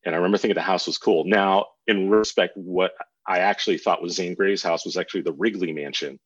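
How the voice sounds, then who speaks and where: A middle-aged American man with a husky voice, telling stories about himself as an interview guest in a casual, rambling, self-deprecating way. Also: sits low, fairly even intonation, fast talker. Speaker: guest author. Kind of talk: reflective interview storytelling. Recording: dull, roomy lo-fi sound.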